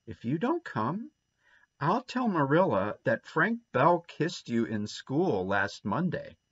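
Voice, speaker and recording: A man's voice: a little high, very animated, slow, fairly bright tone, slightly rough, no echo, exaggerated voice. A man reading aloud and voicing a defiant, rebellious young boy who talks indignantly and boastfully, on a dull lo-fi recording.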